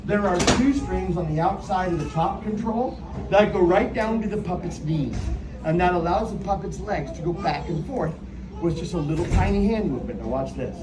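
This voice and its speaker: A man's voice: high-pitched, lively intonation, normal speed. A man working as a puppeteer, talking playfully and teasingly to children at a live show and asking them questions. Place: echoey room with a live audience. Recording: echoey, noisy live room from a distance.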